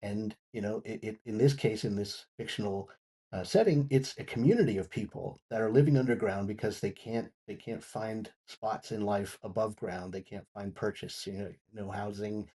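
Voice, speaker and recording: Halting, husky man reviewing drama. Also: lowish voice, warm tone. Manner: halting, repetitive phrasing. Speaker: guest reviewer on a talk show. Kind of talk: unscripted audio drama review.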